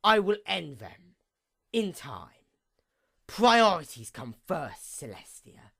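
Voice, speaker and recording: A woman voicing a proud, wounded pony goddess of night in a theatrical villain monologue, menacing and grandiose with a gravelly creak. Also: lowish voice, very animated, fairly bright tone, full character voice. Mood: grim resolve. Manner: theatrical, menacing, grandiose, with slow drawn-out emphasis. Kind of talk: dramatic villain monologue, fan fiction reading.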